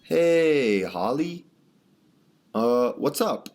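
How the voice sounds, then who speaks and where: Man playing earnest young radio drama lead, lively intonation, wistful. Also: medium pitch, drawn-out words, slight background noise, heavily acted. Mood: earnest, eager. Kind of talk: scripted radio drama acting.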